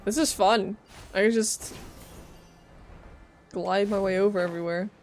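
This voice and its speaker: Joking woman livestreaming, mid-pitched voice. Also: fairly even intonation, unhurried, darkish tone, soft background music. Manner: joking, talks back to game characters, swears. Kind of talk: casual game livestream chat.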